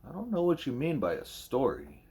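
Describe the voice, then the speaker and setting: A man's voice: medium pitch, huge pitch swings, normal speed, vocal fry, dark tone, slightly rough, pitched above the performer's own voice, exaggerated voice. A man reading the lines of a troubled, blunt schoolgirl in an audiobook, plain-spoken and guarded. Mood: puzzled, guarded. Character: wary, troubled, blunt schoolgirl narrating her story.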